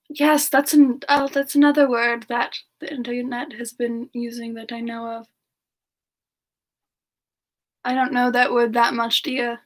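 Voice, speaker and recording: Woman playing a sweet high-voiced demon girl, unhurried. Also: little pitch movement. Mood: musing, modest. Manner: gently giggly, affectionate, calls listeners darling. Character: Frostbyte, sweet affectionate demon woman, internet slang novice. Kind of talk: semi-ASMR livestream Q&A, casual chat.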